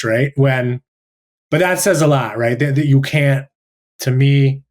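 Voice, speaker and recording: A male teacher sharing personal reflections in a thoughtful, halting way with many restarts, over a video call. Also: medium pitch.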